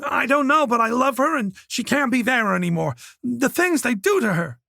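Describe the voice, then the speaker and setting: A man voicing a nervous young man who pleads in a higher, anxious voice. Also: expressive, moderate pace, vocal fry, sharp tone, no echo, clean studio sound, heavily acted. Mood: pleading.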